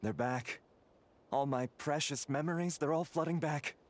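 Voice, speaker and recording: Actor as effusive wine-loving soldier. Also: male voice, on the high side, normal speed, heavy background noise, lightly acted. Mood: blissful nostalgia. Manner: effusive, sighing, theatrical. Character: male nostalgic expedition soldier, wine lover, effusive. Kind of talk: fantasy game voice acting.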